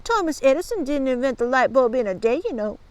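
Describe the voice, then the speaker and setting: A woman's voice: high voice, very animated, fast. A woman voicing a blustering boy bully in a children's storybook, with slangy, sneering taunts. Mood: bluffing.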